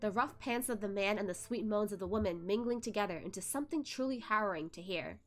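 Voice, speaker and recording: A woman streamer reading a web novel aloud, narrating with animated, giggly asides. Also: mid-range voice, quick pace. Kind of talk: read-aloud narration with live reactions.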